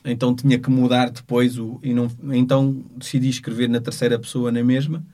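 A man with a European Portuguese accent speaking unscripted as a lawyer, in a measured, halting way as he searches for words. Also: medium pitch, level tone, mellow. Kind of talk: unscripted spoken account of a court case.

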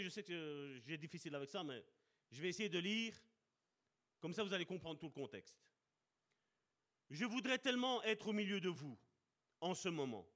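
A man preaching a sermon with passion, slowing down to stress key words and calling out to his brothers and sisters. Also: high voice, pushed and bright. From Italian heritage. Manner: impassioned, addresses congregation as brother and sister.

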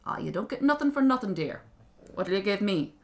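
A woman's voice: mid-range voice, very animated, a little fast, vocal fry, a little mellow. A woman voicing a sly little man-like creature with an Irish accent, wheedling and patronising as in a fairy tale. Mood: sly, breezy. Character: small sly man-shaped creature, gleeful bargainer.

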